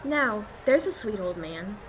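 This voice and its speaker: A woman's voice: medium pitch, animated, a little slow, a little hiss or hum, close to an everyday voice, poor audio. A young woman acting a detective's feisty, devoted sidekick in 1940s radio style, earnest and coy. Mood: coy, curious. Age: young adult.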